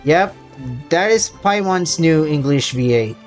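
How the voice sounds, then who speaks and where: Slow, halting man commenting on a game. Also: a little high, lively intonation, soft background music. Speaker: gaming channel host. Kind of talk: casual game playthrough commentary.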